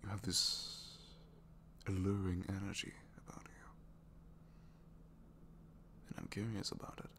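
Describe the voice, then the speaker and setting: A man playing a captured, reluctantly evil supervillain in a romantic ASMR roleplay, bashful and lovestruck, speaking softly and haltingly and slipping into whispers. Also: low-pitched, steady tone, gravelly, exaggerated voice. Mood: tender, hesitant. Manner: halting, self-deprecating, soft confiding delivery slipping into whispers.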